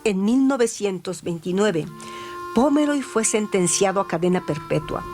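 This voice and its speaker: A woman reading a scripted crime story as a radio narrator, with deliberate, dramatic phrasing. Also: mid-range voice, lively intonation. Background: music.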